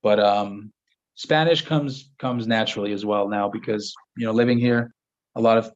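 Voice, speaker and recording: A man whose first language is French, hosting a casual live-stream Q&A and answering viewers' questions in a relaxed, rambling way with many fillers. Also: fairly low pitch, moderate pace, dull audio. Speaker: host of a live Q&A. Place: home office in Southern Ecuador.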